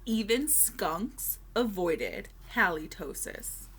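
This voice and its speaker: A woman reading a children's storybook aloud expressively, with deliberate dramatic pauses and emphasis. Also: medium pitch, animated, background noise.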